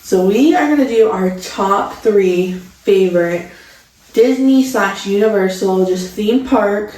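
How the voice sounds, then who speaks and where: A woman's voice: mid-range voice, slow pace, a little mellow. A woman of twenty-five chatting casually and enthusiastically as co-host of a vlog. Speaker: vlogger co-host. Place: home studio room, indoors. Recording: echoey room, distant mic.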